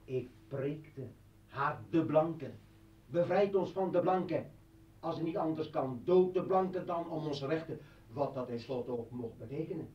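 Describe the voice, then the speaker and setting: A man acting out a bitter, menacing revolutionary in a radio drama, rhetorical and taunting. His voice is fairly high, in a dull, echoey old recording. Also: measured pace, lightly acted. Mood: bitter, reproachful. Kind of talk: Dutch radio play acting.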